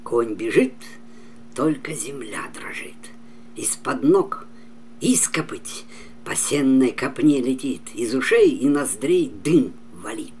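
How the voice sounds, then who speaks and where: An older man in his seventies telling a folk tale as a storyteller, with an expressive, dramatic, singsong delivery. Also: medium pitch, takes it slowly. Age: older, about 70s. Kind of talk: expressive fairy-tale narration. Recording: clearly noisy home recording.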